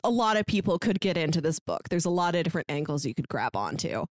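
A woman's voice: sits low, sing-song, quick pace, dry recording, studio quality. A woman co-hosting a podcast, chatting casually about books in a rambling, giggly way. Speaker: podcast co-host.

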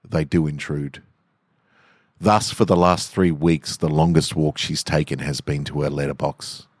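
Deep-voiced man reading short stories. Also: a little mellow, no echo, clean studio sound. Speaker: podcast host, author and reader. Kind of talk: relaxed podcast intro and literary short-story reading. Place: garage, indoors.

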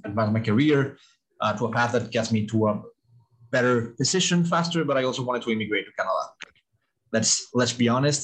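A man from Mexico in his thirties, a native Spanish speaker, speaking as an alumni guest in a relaxed, casual way, often saying "like" and repeating words. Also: mid-range voice, low-quality recording. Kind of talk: casual chat.

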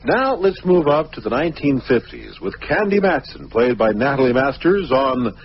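A man's voice: mid-range voice, very animated, moderate pace. A man hosting a radio program, presenting old shows in a scripted announcer style. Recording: muffled radio recording with music.

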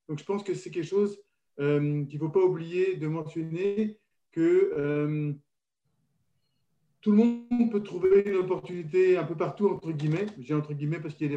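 A man from France talks on a radio panel, stumbling and restarting as he searches for words. His voice is mellow and slightly higher than usual. The recording sounds dull and muffled, with a roomy echo. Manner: hesitant, many restarts and fillers. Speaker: panel guest.

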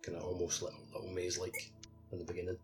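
A man's voice: low-pitched, completely flat delivery, racing, darkish tone. A man co-hosting a podcast, chatting casually about a game in loose, hedging phrases. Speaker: podcast co-host. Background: background music.

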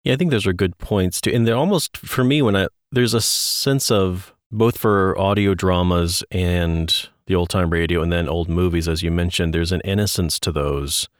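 Deep-voiced man hosting a podcast. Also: moderate pace, few pauses, no echo, studio quality. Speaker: podcast co-host and interviewer.

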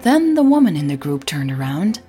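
A woman narrating scripted audio fiction as a podcast storyteller, in a measured, dramatic way. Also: sits low, big pitch swings, warm tone, soft background music.

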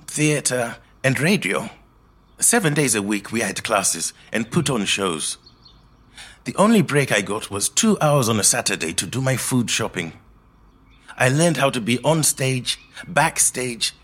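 An actor playing a reflective middle-aged Zimbabwean man telling his life story in a measured, earnest monologue. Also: mid-range voice, fairly bright tone. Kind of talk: scripted autobiographical monologue, storytelling.

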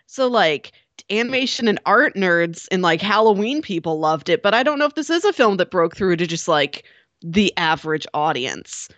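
Lowish-voiced woman in a dry room. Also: sing-song, normal speed, a bit bright, no room sound. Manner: informal, explanatory, peppered with "like". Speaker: podcast co-host. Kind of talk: casual film discussion.

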